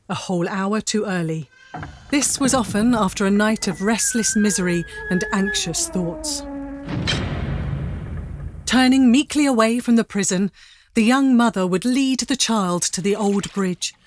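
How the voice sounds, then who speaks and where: A woman narrating a period story in a solemn, measured, dramatic style. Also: mid-range voice, a little mellow, a little hiss or hum.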